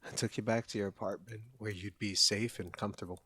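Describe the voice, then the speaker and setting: A man's voice: on the low side, steady tone, fast, bright, forceful tone, breathy edge. A man playing a caring, protective young college friend in an intimate, one-sided audio roleplay, speaking in an earnest, conversational way. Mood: tender, reassuring.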